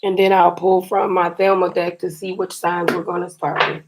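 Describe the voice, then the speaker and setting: A woman reading tarot cards for her viewers in a chatty, encouraging way, her voice a little scratchy and raspy today. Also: on the low side, level tone, moderate pace. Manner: chatty and encouraging, often says "you guys". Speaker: tarot card reader.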